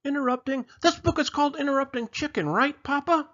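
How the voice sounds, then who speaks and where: A man voicing an eager, excitable little girl chicken from a storybook, who bursts out with urgent warnings. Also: very high-pitched, fairly quick, muffled recording, voice pushed up.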